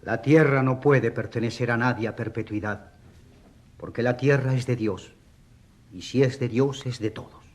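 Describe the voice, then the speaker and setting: An actor playing an eloquent defence lawyer in a courtroom radio drama, rhetorical and emphatic, with sardonic courtesy. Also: male voice, mid-range voice, normal speed, lightly acted. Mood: emphatic, assured. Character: eloquent, ironic defence lawyer for a rural priest.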